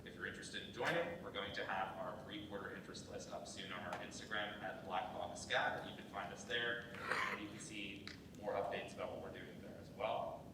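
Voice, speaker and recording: A man's voice: lowish voice, fairly even intonation, a little fast. A young man giving an informal introduction as host of a live club event. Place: large echoey indoor room, live event. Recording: echoey room, distant mic, clear background noise.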